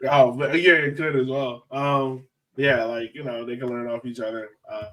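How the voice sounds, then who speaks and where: A man co-hosting a soccer fan podcast, chatting casually in a playful, animated, slangy way. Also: mid-range voice, normal speed, far from the mic, poor audio. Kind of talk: casual sports chat.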